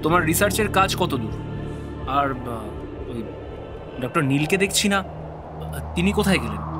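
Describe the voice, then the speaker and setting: A man's voice: fairly high pitch, big pitch swings, a little slow, over music. A man playing a concerned, caring friend in an audio drama, anxious and soothing.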